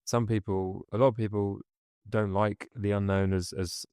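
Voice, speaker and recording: A man's voice: lowish voice, little pitch movement, normal speed, warm tone, smooth, dry recording, clean studio sound. A man hosting a podcast interview, chatty and affirming as he responds to his guest and asks questions. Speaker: podcast host. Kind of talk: casual interview.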